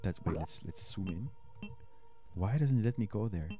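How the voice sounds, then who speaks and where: A German-accented man in his thirties streams a horror game, chatting and thinking out loud into a headset mic in a small room at home. His voice sits fairly low. Faint music plays under his talk. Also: animated, moderate pace. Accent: German-accented English. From Austria. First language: Spanish. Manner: chatty, thinks aloud, reads game text, answers chat. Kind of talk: casual let's-play commentary. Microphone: headset mic at a desk.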